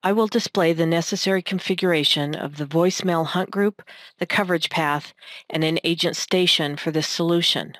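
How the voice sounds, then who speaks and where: A woman reading scripted narration for a technical demonstration in a measured, instructional manner. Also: lowish voice, fairly bright tone, dry recording, clean studio sound. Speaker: software tutorial narrator.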